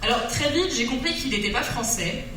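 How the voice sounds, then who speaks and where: A woman's voice: a little high, lively intonation, brisk, recorded from a distance, noisy background. A woman telling a comic love story on stage, theatrical and breaking into song. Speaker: stage performer. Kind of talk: comic storytelling monologue with songs. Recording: echoey hall recorded from afar.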